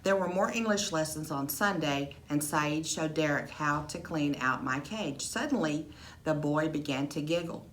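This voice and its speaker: A woman's voice: deep voice, moderate pace, rough recording. A woman reading a children's story aloud as a teacher would, narrating in the first person.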